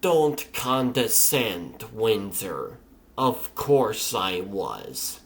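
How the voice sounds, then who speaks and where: A man's voice: lowish voice, big pitch swings, very slow, slight background noise, full character voice. A man voicing a cool, clinical female scientist in a comic dub, precise, polite and detached. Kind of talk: comic dub character acting.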